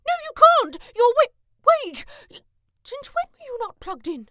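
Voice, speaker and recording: A woman playing a determined, affectionate, resourceful adventurer in an audio drama, expressive and commanding. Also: very high-pitched, unhurried, no echo, studio quality, full character voice. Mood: urgent. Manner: expressive, thinking aloud, commanding.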